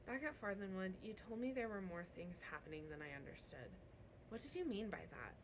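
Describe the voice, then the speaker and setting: A woman voicing a young servant girl in a storybook read-aloud, soft, hesitant, earnest and worried. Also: medium pitch, very animated, brisk, background noise, natural voice.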